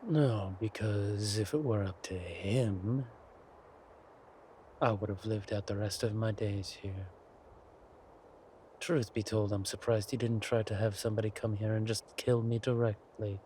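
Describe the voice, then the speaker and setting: Guy doing a menacing smug villain king for audio roleplay, talks really slow n theatrical, bit of background noise. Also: on the low side, fairly even intonation, warm tone, lightly acted. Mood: smug, amused. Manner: slow, deliberate, theatrical, breathy asides. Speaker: voice actor in romantic audio roleplay. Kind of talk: intimate scripted villain roleplay monologue.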